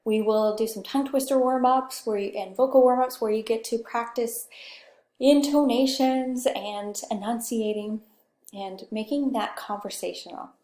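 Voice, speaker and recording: A soft-spoken woman with a Pacific Northwest accent giving a conversational recorded course lecture, often checking in with "okay". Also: fairly high pitch, fairly even intonation, normal speed, echoey room. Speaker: instructor.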